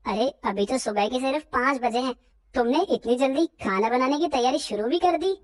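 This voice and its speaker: A humble young husband from a cartoon moral tale, played by a woman, talking softly and apologetically. The voice stays fairly high despite being pushed down, and the recording is dry and close. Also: fairly quick, no room sound, natural voice. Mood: gentle. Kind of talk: cartoon story dialogue.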